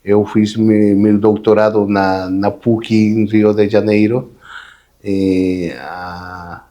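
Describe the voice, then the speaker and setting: A man's voice: lowish voice, little pitch movement, slow pace, warm tone. A man in his fifties from Peru, Spanish native, speaking Portuguese with a Peruvian Spanish accent as an interview guest, hesitant with many fillers and tag questions. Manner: hesitant, many fillers and repeated words, ends phrases with "não?". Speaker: guest, hydrogen association president. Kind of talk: conversational interview.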